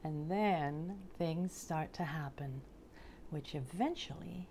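A woman in her forties presenting and reading to children in a deliberate, expressive, carefully enunciated way. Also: sits low, a little hiss or hum. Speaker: storytelling presenter. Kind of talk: scripted narration for children, picture-book reading. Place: indoors in front of a curtain backdrop.

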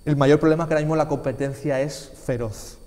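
A young man in his twenties with a Spanish accent from Spain speaks in a fairly high voice. He answers interview questions casually and emphatically, repeating key phrases. The room adds noticeable reverb. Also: fairly even intonation, fast talker, a little mellow. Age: 20s. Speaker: interview guest, film festival organiser. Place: small indoor TV studio, seated at a desk.

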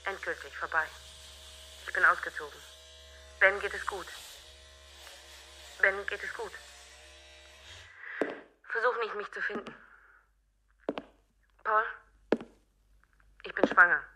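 An actress playing a resigned wife leaving her husband in a German radio play, halting and final. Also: medium pitch, background noise, lightly acted.

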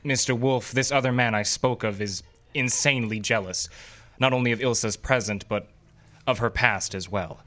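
An actor playing an earnest, lovesick, moralizing gentleman with formal, flowery speech in a radio drama. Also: medium pitch, moderate pace, close to an everyday voice. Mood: anxious, pleading. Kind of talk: old-time radio drama acting.

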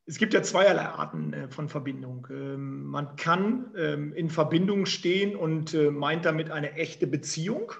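A man's voice: fairly high pitch, unhurried, vocal fry, few pauses, muffled recording. A man in his forties from northern Germany with a northern German accent, telling his career story as a guest with many fillers. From Emsland, northern Germany. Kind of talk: storytelling interview answers. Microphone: remote call.

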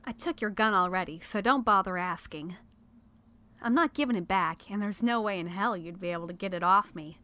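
A woman's voice: medium pitch, brisk, sharp tone, slight background noise, natural voice. A woman playing a brash, sassy young desert medic in an audio drama, blunt and taunting. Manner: blunt, taunting, self-assured.

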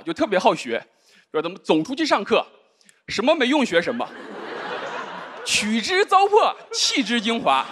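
A man in his thirties performing a deadpan stand-up comedy set on a large studio stage, speaking into a handheld microphone. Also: very high-pitched, slight background noise. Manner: dry, deadpan delivery with rhetorical asides. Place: large indoor studio stage with live audience. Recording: live audience laughter.

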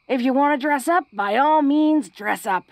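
A woman's voice: high-pitched, normal speed, a little mellow, no echo. A woman playing a tough, flirty, secretly awkward female orc in an intimate romantic audio roleplay, speaking in a hesitant, folksy, teasing way.

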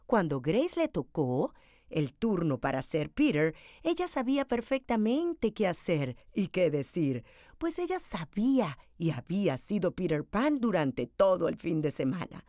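A woman storyteller reading a children's picture book aloud in an expressive, dramatized way. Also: fairly low pitch, measured pace, dry recording, studio quality.

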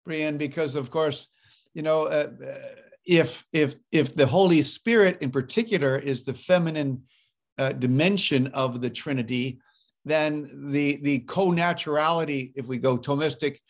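A man's voice: on the high side, measured pace. An older man hosting an interview over a video call from a book-lined study, commenting fluently and eagerly. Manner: fluent, enthusiastic theological commentary. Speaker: interview host. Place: home study with bookshelves. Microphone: webcam on video call.

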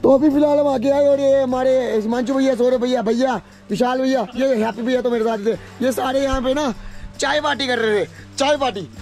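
An excitable young man talks to his camera held at arm's length outdoors, joking with his viewers. His voice sits very high with a gravelly edge. Loud music plays behind him. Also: a little fast. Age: young adult. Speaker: vlogger. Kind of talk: casual travel vlog to camera. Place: outdoors in hill villages and forest. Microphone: action camera at arm's length.

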